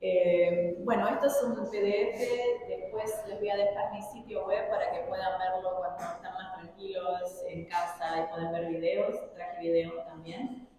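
A woman's voice: on the low side, little pitch movement, unhurried, warm tone, a little hiss or hum. A woman artist giving an informal talk to students in a classroom, hesitating often, with a Rioplatense accent that sounds slightly mixed. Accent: Rioplatense Spanish, slightly mixed after years abroad. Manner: hesitant, many filler sounds and restarts. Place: large university classroom. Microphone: room mic from a distance. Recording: distant, echoey hall sound.

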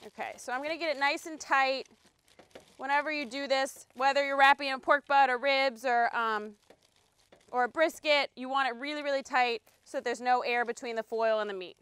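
A woman's voice: sits high, steady tone, moderate pace, fairly bright tone, smooth. A woman in her thirties giving a casual, chatty cooking demonstration on an outdoor deck, explaining as she works. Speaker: cooking instructor. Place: outdoor deck beside a grill.

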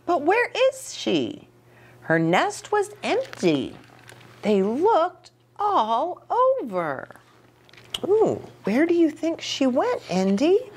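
A middle-aged American woman reading a story aloud to children, expressive and pausing to ask them questions. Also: high-pitched. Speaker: children's story time host and pastor. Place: small indoor reading nook with bookshelf and curtains.

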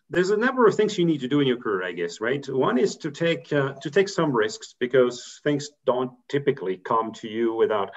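A man in his fifties from Belgium, a corporate treasurer giving career advice on a video call, talking thoughtfully with many fillers and restarts. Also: medium pitch. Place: home room, indoors. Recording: muffled video call audio.

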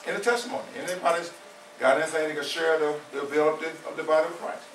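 A man's voice: on the high side, fairly even intonation, quick pace, a bit bright, a little hiss or hum. A man of seventy preaching an informal sermon, repeating his points with emphasis in a conversational way. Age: 70s. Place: small church hall. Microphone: far camera. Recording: echoey room from a far camera.

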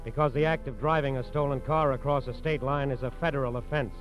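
An American man giving grave, measured scripted narration for a 1940s radio crime drama. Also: mid-range voice, fairly even intonation. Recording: dull vintage radio, music behind.

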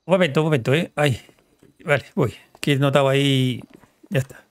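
A man playing an eager, jealous press officer in an improvised online game roleplay, excitable, fawning and repeating himself. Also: mid-range voice, fairly quick, slight background noise, natural voice. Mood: flustered. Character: eager, flustered male press officer named José Luis, jealous suitor.

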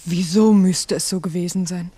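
A woman's voice: lowish voice, steady tone, moderate pace, mellow, husky. An actress playing a troubled woman who asks terse, doubting questions in a 1960s radio play. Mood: hushed, defiant. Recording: 1960s mono radio recording.

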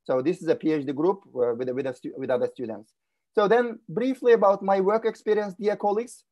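A man's voice: high voice, a little mellow, lo-fi sound. A middle-aged man from Kyrgyzstan, giving a webinar talk on a video call in a formal, courteous way and often repeating words as he searches for them. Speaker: guest speaker. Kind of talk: webinar presentation. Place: indoor room.

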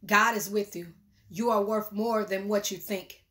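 A woman's voice: mid-range voice, steady tone, unhurried, pushed and bright. A middle-aged woman preaching a prophetic word to a phone camera in a small room, earnest and repetitive, often restarting her phrases. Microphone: phone camera at arm's length.

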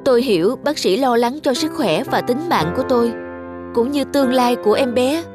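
A woman's voice: a little high, normal speed, music in the background, lightly acted. A woman voicing a fragile but determined young wife in a cartoon, tender and pleading. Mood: sad, thoughtful.

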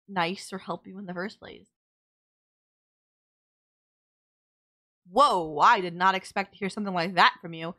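A woman's voice: mid-range voice, pushed and bright. A woman playing a sardonic yet caring female villain in an audio roleplay, blunt, teasing and exasperated. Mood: concerned, earnest. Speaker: voice actor. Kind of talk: audio roleplay drama, intimate one-sided dialogue.

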